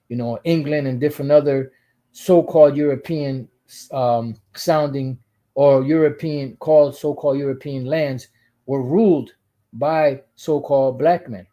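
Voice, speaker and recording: A man with a soft, dark-toned voice talks through scripture passages as a channel host. He rambles, circling back with asides and restarting his sentences as he reads out verses. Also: mid-range voice. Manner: rambling, full of "you know" asides and restarts. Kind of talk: casual religious commentary reading scripture.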